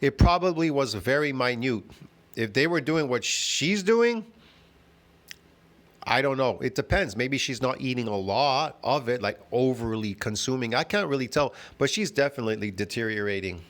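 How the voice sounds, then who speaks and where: A man's voice: medium pitch, lively intonation, moderate pace, a bit bright. A man hosting a reaction video, talking in a rambling, repetitive and mocking way, often tossing in "get it?" and "bro". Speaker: host reacting to a video clip. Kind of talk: casual rambling commentary.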